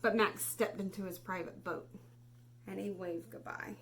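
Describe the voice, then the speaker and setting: A woman reading a children's story aloud, dramatically moving between hushed and raised delivery. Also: mid-range voice, steady tone, normal speed. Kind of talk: expressive children's read-aloud. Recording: noticeable background noise.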